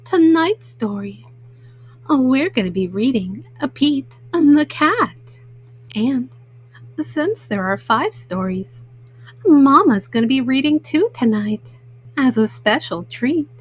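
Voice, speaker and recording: A woman storyteller reading a children's picture book at bedtime, slowly and simply, as a mama to young listeners. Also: high-pitched, animated, breathy edge, poor audio. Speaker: storyteller host.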